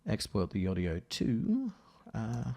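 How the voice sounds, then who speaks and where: A man narrating a casual software tutorial as its presenter, thinking aloud in a halting way. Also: on the low side, huge pitch swings, creaky. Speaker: audio editing tutorial presenter.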